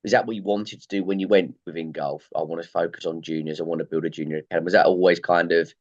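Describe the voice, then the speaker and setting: A man's voice: low-pitched, fairly even intonation, fast, muffled recording. A man hosting a golf interview, asking long rambling questions with hesitant restarts. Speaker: podcast host.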